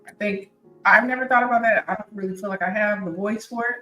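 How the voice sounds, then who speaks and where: A woman's voice: mid-range voice, steady tone, fast talker, sharp tone, echoey room, far from the mic, faint music behind. A woman with an American accent co-hosting a gaming podcast, speaking in a laid-back way and often agreeing with the others. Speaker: podcast co-host. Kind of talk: casual chat.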